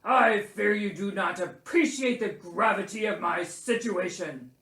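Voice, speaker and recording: A woman voicing a grumpy, self-pitying male bear who is ill, gruff and pompously melodramatic, in a children's storybook. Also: medium pitch, natural voice. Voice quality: gruff, growly. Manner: loud, pompous, melodramatic, drawn-out. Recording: roomy echo.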